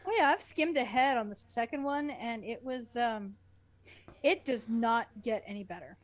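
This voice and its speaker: A woman's voice: fairly high pitch, moderate pace. A woman co-hosting a podcast in casual banter, blunt and ready to bicker. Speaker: podcast co-host.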